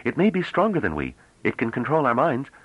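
A man voicing a mild, cautious male scholar with a hesitant, courteous and gentle manner, in an audiobook story. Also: medium pitch, huge pitch swings, brisk, slightly rough, dry recording, highs cut off, pitched above the performer's own voice. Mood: urgent, cautious.

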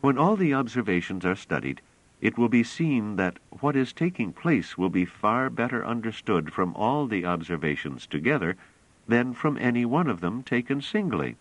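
Calm serene young woman, patient explainer, lifted voice, a man doing her. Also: fairly low pitch, normal speed, no room sound, voice pushed up, low-quality recording. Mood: calm, earnest.

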